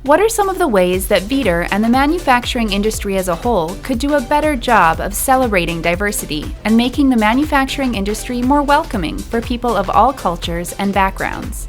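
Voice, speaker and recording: A woman interviewer reading scripted questions in a clear, even way. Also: a little high, brisk, hardly any pauses, faint music behind.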